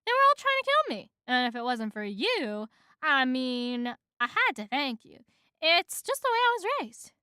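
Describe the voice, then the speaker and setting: A woman's voice: a little high, expressive, unhurried, bright, forceful tone, clean tone, no room sound, studio quality. A woman voicing a nervous, awkward young male cartoon bee who stammers and apologizes. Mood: flustered, apologetic. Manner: stammering, self-arguing, apologetic.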